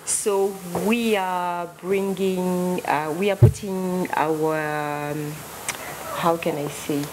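A woman from West Africa answers questions at a press conference in an unhurried, thoughtful way. Her voice sits a little low and she pauses often. Steady room noise sits behind her. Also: rough recording. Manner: slow, thoughtful, frequent fillers and laughs. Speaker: gospel singer hosting a concert. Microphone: table microphone.

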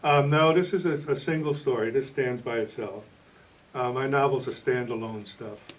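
A man in his sixties speaks as a writer being interviewed. His voice sits at an average male pitch. The microphone is a little way from him in an indoor studio. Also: normal speed, distant mic, low-quality recording. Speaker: novelist and playwright being interviewed. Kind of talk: interview answers.

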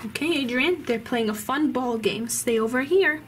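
A woman playing a calm mother in a children's play story, gently but firmly instructing small children. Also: sits high, moderate pace, lightly acted. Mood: gentle. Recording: faint background noise.

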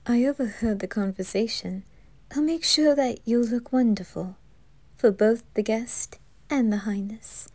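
A woman voicing a gentle, deferential demon maid, soft and breathy, in an ASMR roleplay. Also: fairly high pitch, unhurried. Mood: gentle, attentive.

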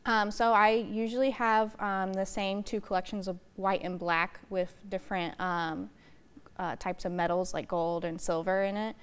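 A woman's voice: medium pitch, fairly even intonation, moderate pace, smooth. A young American woman giving casual answers as an interview guest, on a lapel mic in a TV studio. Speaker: interview guest, artist and art teacher. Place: TV news studio.

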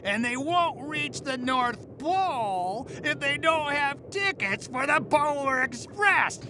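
An actor voicing a sneering, child-hating marionette puppet in a video game, scornful and gloating in a drawn-out theatrical way, recorded in noisy game audio under music. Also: sits high, lively intonation. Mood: sly gloating. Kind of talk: theatrical villain voice acting.

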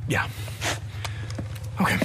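An American actor playing a gritty, restless biker in a zombie apocalypse video game. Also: male voice, takes it slowly, very noisy, lightly acted. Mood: determined, reckless. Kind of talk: video game cutscene acting.

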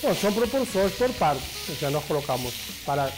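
An older man explains a recipe in a measured, slightly halting manner. His voice sits fairly high for a man. Music plays softly behind him in the studio. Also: very animated, poor audio. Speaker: restaurant owner guest. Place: TV studio kitchen set. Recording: studio recording with music bed. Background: background music.

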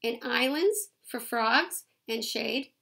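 A woman's voice: on the high side, slow, creaky, bright, forceful tone, heavily acted. A woman voicing a patient mother explaining pond life from a field guide in a storybook. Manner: explaining, teacherly.

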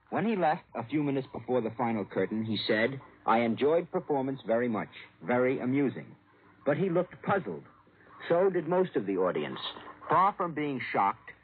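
A man's voice: medium pitch, a little hiss or hum. A man telling a scripted human-interest news report in 1940s radio style, on a tinny old recording. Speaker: foreign news correspondent.

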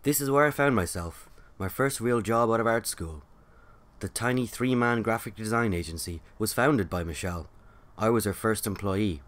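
A man with a deep, low-pitched voice narrates for a story podcast. His delivery is scripted storytelling, in the role of host and narrator. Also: moderate pace, a bit bright.

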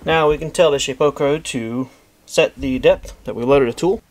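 A man in his thirties casually explaining a machine setup in his home woodshop, easygoing and plainspoken, thinking out loud. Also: mid-range voice, normal speed, a little hiss or hum. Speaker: woodworking channel host. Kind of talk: casual how-to narration.

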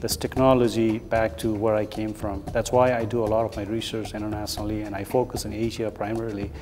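Middle-aged man from Nepal, earnest explainer with fillers, lapel mic, roomy hall, soft music under. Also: lowish voice, flat delivery, fast. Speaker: research professor. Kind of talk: documentary interview. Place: large open office with windows.

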